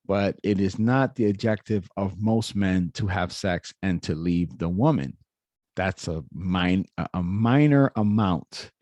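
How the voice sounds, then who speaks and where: A man's voice: fairly low pitch, a little mellow, no echo. A man hosting a reaction video, giving his opinions in a lecturing way with frequent restarts and self-corrections. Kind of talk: casual opinionated commentary.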